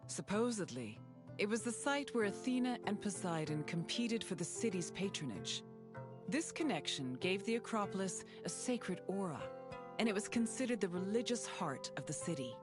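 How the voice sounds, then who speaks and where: A woman's voice: medium pitch, sing-song, moderate pace, natural voice. A woman voicing a knowledgeable female history guide, giving informative, lecturing scripted narration. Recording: game music behind.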